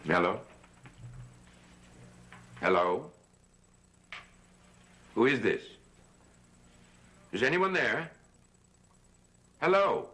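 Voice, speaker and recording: A man's voice: medium pitch, very animated, very slow, long pauses, fairly bright tone, exaggerated voice. An actor playing an overbearing stepfather who talks in a coaxing, insinuating way, from an old television soap opera. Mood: wary. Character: smooth, overbearing stepfather of the house. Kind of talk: 1970s soap opera acting.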